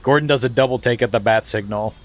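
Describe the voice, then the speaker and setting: Brief: male co-host narrates stage directions, mid-pitched, half-laughing through it. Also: fast talker, soft background music. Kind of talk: comedic script narration.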